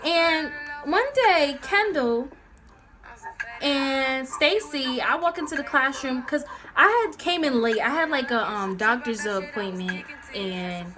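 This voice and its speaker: A young woman telling a casual, comedic story in a sassy, dramatic, slangy way, acting out the dialogue. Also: sits high. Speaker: storytelling vlogger. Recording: music playing behind the voice. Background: clear background music.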